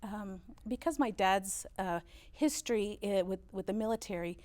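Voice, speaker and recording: A woman in her fifties, German her first language, recalls her family's history in an unhurried interview. She tells her stories at a middle pitch, pausing often on "uh" and warming up as each story goes on. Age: 50s. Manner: storytelling, warm recollection with frequent "uh" fillers. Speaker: interview guest, business owner. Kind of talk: relaxed interview conversation.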